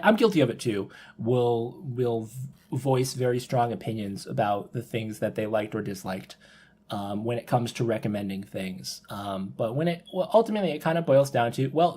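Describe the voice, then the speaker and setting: A man's voice: mid-range voice, a little mellow, breathy edge. A man chatting in a casual podcast discussion, feeling his way through points and acting out imagined quotes.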